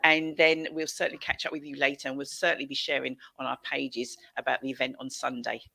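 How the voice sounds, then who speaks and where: Low, creaky-voiced British woman host. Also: little pitch movement, quick pace, crowd noise. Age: middle-aged. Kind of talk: informal chat-show hosting. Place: indoor room, video call. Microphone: headset.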